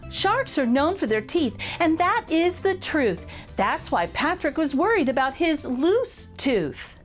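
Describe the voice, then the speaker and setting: A woman reads a picture book aloud to children, pausing to ask her listeners questions and react with delight. Her high voice swoops in a sing-song way, and she takes her time. Faint music sits under her reading. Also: very animated. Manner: warm, exclamatory, asks children questions. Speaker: children's storytime reader.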